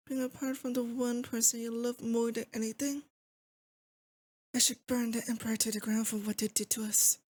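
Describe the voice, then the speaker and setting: A lovesick demon lord , acted by a woman, speaks in a high, intimate voice. She is doting and possessive, with sweet menace under every word. The delivery is theatrical romantic ASMR roleplay, close and confiding. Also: darkish tone, breathy edge. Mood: wounded, longing, then cold, commanding.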